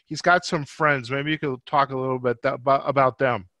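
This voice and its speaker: A man's voice: medium pitch, steady tone, brisk, sharp tone, no room sound. A man hosting a podcast interview, asking conversational questions in a roundabout, rephrasing way.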